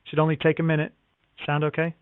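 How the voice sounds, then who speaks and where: A man's voice: on the high side, completely flat delivery, fast, clear, resonant tone, dry recording. A man working as a real estate phone agent, speaking politely in a scripted sales-call style with formulaic acknowledgements. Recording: narrow, tinny phone line.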